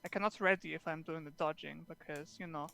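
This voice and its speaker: A woman's voice: sits low, steady tone, fairly quick, creaky, sharp tone, heavily acted. A woman doing an earnest warrior with a thick made-up accent. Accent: made-up fantasy accent. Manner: drops articles, clipped broken English. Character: earnest foreign warrior woman, broken grammar. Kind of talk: tabletop roleplay in character.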